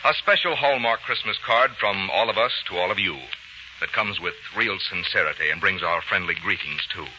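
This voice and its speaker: A man's voice: deep voice, moderate pace, sharp tone, a little hiss or hum. A man working as a formal, polished radio announcer, reading a script in 1940s broadcast style. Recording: muffled old radio broadcast recording. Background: music.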